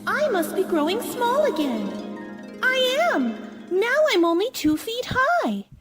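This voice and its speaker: A woman's voice: very high-pitched, wildly swooping pitch, slow, over music, exaggerated voice. A woman playing a polite, curious young girl in a radio play, talking with mannered wonder.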